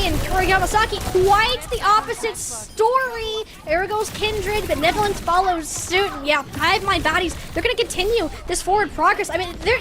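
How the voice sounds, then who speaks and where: A woman doing live play-by-play commentary of a video game match, in a playful, colloquial, exclamatory way. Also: very high voice. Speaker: esports caster.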